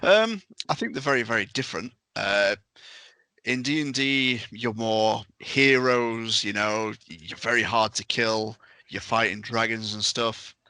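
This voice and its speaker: Bright-voiced, fairly low man talking emphatically. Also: unhurried, clear, resonant tone, no room sound. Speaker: game master and podcast guest. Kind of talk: casual podcast discussion.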